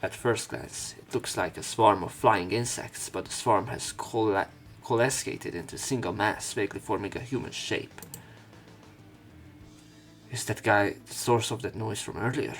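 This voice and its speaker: A man's voice: lowish voice, normal speed, gravelly. A man reading a visual novel aloud as a let's play, breaking off into casual, irreverent commentary with some swearing. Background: game music.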